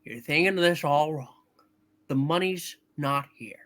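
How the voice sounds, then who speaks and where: A man's voice: on the high side, a little slow, fairly bright tone. A man playing an earnest, flustered young loan-company boss in a hammed-up radio play reading, stammering and urgent. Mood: pleading.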